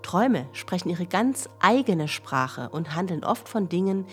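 A woman's voice: on the low side, big pitch swings, measured pace, soft background music. A woman reading a scripted explanation for children as a narrator.

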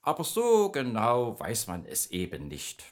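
A man's voice: mid-range voice, huge pitch swings, unhurried. A man voicing a friendly, chatty nightmare spirit who patiently explains dreams in a children's radio play. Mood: evasive. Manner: explains patiently, evasive then informative.

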